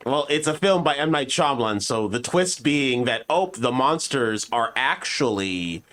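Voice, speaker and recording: A man's voice: mid-range voice, animated, a little slow, a bit bright. A man with a mid-range voice chats casually as a podcast co-host. He tells things in a wry, joking manner, like he is riffing among friends.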